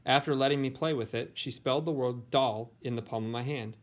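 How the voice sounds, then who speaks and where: A man's voice: medium pitch, fairly quick. A man with an American accent, a teacher who talks informally and reads picture books aloud. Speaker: teacher and read-aloud host. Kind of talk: picture book read-aloud, informal introduction.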